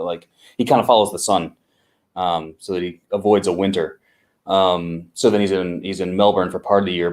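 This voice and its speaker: Man, low voice, interview guest, casual, lots of ums and restarts. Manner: unhurried, many fillers and restarts. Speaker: agency co-founder guest.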